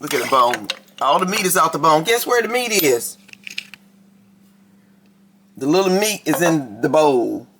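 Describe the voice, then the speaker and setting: A woman with a raspy voice, chatting casually to her viewers in a home kitchen cooking vlog. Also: low voice, big pitch swings, unhurried, long pauses, fairly bright tone, a little hiss or hum. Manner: chatty, talks straight to viewers. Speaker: cooking vlogger.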